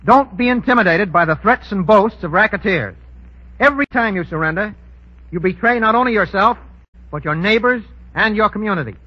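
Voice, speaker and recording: A man with a husky voice, a guest crime expert giving scripted explanations in a 1930s radio interview. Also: sits high, animated, a little fast. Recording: dull, lo-fi 1930s radio recording.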